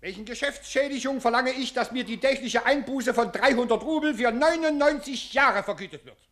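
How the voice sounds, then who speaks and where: A man's voice: very high voice, brisk, a bit bright. An actor playing an indignant, money-minded animal showman in a theatrical radio play. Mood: demanding. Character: indignant, mercenary German crocodile owner demanding compensation.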